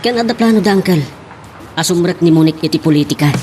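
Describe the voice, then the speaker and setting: A man's voice: on the high side, fast, music in the background, lightly acted. An actor with a Filipino accent playing an eager, earnest nephew in an audio drama, respectful as he argues. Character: eager nephew arguing politics with his uncle.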